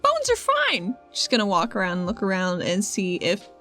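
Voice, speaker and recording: A woman's voice: medium pitch, huge pitch swings, normal speed, a bit bright, soft background music. A woman playing a tabletop roleplaying game, chatting informally and joking along. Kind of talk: casual roleplaying game chat.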